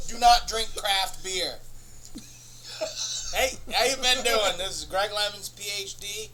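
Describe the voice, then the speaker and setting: A man hosting a comedy show, rambling through an absurd, profane monologue in a deadpan way. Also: sits high, slow, pauses often, a little hiss or hum, rough recording. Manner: rambling, profane, deadpan nonsense with sudden bursts of laughter.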